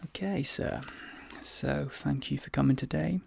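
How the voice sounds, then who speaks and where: A man speaking softly and breathily in an ASMR dentist role play, hesitant and often slipping into whispers. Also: fairly high pitch, noisy background. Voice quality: breathy, soft. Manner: hesitant, halting, drifting into whispers.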